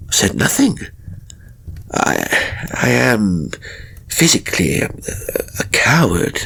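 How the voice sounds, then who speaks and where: A man voicing a timid, anxious novelist who recounts a haunting in a measured, theatrical horror audiobook style, with a gravelly, husky voice. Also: fairly low pitch, fairly bright tone, exaggerated voice. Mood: timid, faltering. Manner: measured, theatrical, hesitant pauses. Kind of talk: dramatic first-person horror audiobook narration.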